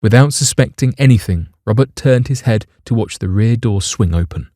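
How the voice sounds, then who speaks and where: A man's voice: medium pitch, animated, moderate pace, dark tone, dry recording, clean studio sound. A man narrating a scripted audio drama in a dramatic storytelling style.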